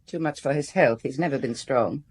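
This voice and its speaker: A woman's voice: very deep voice, moderate pace, darkish tone, husky. An actress playing a composed, well-to-do wife in a radio drama, genteel and guarded in her manner. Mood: composed, confiding.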